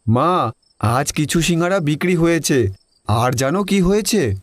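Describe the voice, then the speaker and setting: A man's voice: fairly high pitch, animated, unhurried, voice pushed up. A man voicing a humble, honest young street-food seller in a cartoon story, earnest and respectful. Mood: happy.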